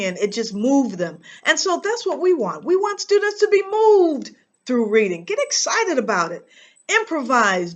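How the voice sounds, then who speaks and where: An American woman with a fairly high voice presents a lesson as a teacher. She speaks slowly and deliberately, leaning on key words and leaving dramatic pauses. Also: a bit bright. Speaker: reading teacher and channel host. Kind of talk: instructional talk to camera.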